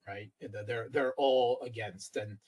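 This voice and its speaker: A man's voice: mid-range voice, takes it slowly, crowd noise. A man giving opinionated political commentary as an interview guest, often checking for agreement. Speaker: geopolitical analyst, interview guest.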